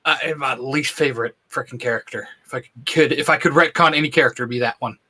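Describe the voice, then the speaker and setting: A man co-hosting a casual podcast chat, excitable and rambling, laughing often. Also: medium pitch, fast, husky. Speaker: co-host.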